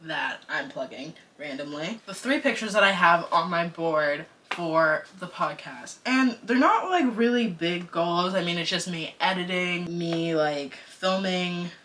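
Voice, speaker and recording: Bright-voiced chatty young woman vlogger. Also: fairly low pitch, a little slow, hardly any pauses, slight background noise. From United States. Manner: chatty, animated, slangy and self-joking. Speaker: vlogger and podcaster. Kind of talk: casual vlog chat.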